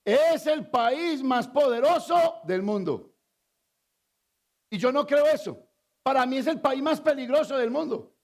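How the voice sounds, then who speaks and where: High-voiced Colombian pastor preaching. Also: male voice, gravelly. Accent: Colombian Spanish. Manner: call-and-response preaching, asks the congregation to fill in words; swings between loud emphatic shouts and low confiding asides. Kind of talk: sermon.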